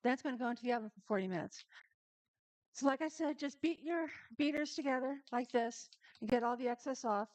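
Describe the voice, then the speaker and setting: A woman's voice: sits high, brisk, a little mellow, dry recording. A woman hosting a casual live-stream cooking demo, chatting straight to her viewers and laughing easily.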